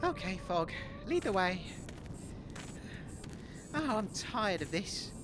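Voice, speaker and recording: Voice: medium pitch, sing-song, slow, background noise. A performer playing a dim, hapless male DJ in a comedy audio drama, whiny and hesitant. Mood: mournful.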